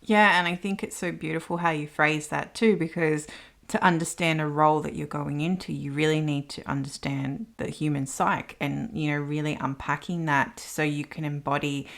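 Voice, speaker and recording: A woman's voice: low-pitched, steady tone, moderate pace, gravelly, a bit bright. A woman hosting a conversational interview podcast, talking in an affirming way. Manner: affirming, reflects back what the guest said. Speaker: podcast host.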